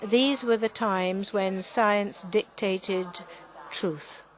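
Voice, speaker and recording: Woman interpreter, mid pitch, slow and halting delivery, restarts mid-word. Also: fairly even intonation, faint music behind. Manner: halting, frequent restarts and self-corrections. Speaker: conference interpreter. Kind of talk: simultaneous interpretation of a panel talk.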